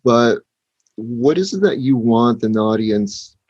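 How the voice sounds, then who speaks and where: Slightly husky man, dull lo-fi sound. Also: medium pitch, expressive, slow pace, a little mellow, dry recording. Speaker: interviewer, host. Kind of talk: casual interview questions.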